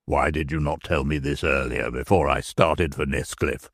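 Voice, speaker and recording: A reader voicing a highwayman captain who speaks in low, clipped tones and curses under pressure. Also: steady tone, moderate pace, vocal fry, breathy edge, no echo, clean studio sound, exaggerated voice. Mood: grim. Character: desperate highwayman captain, curt and cursing.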